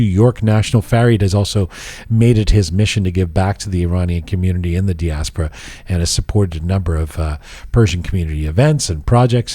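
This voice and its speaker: A man hosting a podcast, joking and animated in casual chat and reading sponsor spots. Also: lowish voice, no room sound. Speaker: podcast host.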